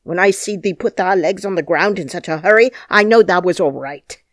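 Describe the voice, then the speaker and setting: Woman voicing a gruff old Yorkshire gardener. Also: on the low side, no echo, natural voice. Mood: gruff kindly. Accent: Yorkshire dialect, put on. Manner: dry, grumbling, slow. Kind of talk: audiobook character reading.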